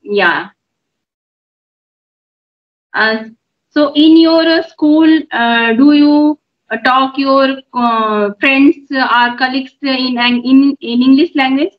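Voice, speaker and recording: A middle-aged woman asks questions in a measured, encouraging way with frequent filler pauses. Her voice sits high, and the video-call audio sounds dull and lo-fi. She speaks from a room at home. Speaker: YouTube channel host and mother. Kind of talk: English conversation practice on a video call. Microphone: phone on a video call.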